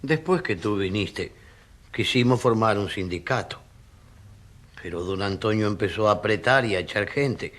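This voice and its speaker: An actor playing a poor, aggrieved tenant farmer giving plain-spoken, rustic testimony in a radio drama. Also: male voice, on the low side, animated, normal speed, a bit bright.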